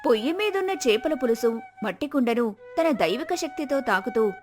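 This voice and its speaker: Female storyteller, higher-pitched voice, scripted folktale narration with background music. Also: animated, moderate pace. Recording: music behind.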